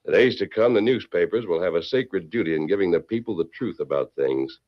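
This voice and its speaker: A very low-voiced, righteous cowboy hero delivers grave moral judgments in solemn, measured phrases. The style is 1940s radio western drama, with the dull sound of an old broadcast. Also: male voice, animated. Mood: stern, grave. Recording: muffled vintage 1940s radio recording.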